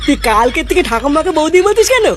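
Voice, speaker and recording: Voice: sits high, big pitch swings, fast, noisy background. An actor voicing an exasperated, foul-mouthed village youth in a comic cartoon, chiding and matter-of-fact.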